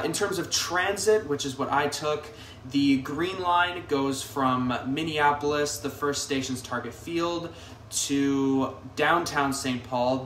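A man's voice: medium pitch, fairly bright tone, a little hiss or hum. A man in his twenties giving a casual, upbeat arena review to camera from a hotel room, with easygoing fillers and restarts. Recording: echoey room, mic a bit distant.

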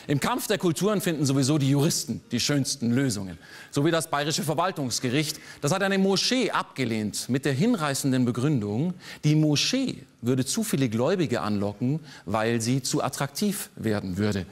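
A man in his forties performing a political cabaret monologue on a theater stage, sardonic, landing dry punchlines with sudden jumps in pitch. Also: very animated, moderate pace. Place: large theater stage before a live audience.

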